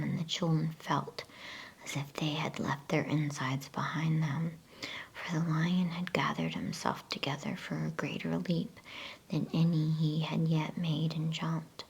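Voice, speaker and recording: A woman speaking in a soft, unvoiced whisper, gently and without hurry, as an ASMR bedtime story reader. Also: low-pitched, creaky, slightly rough.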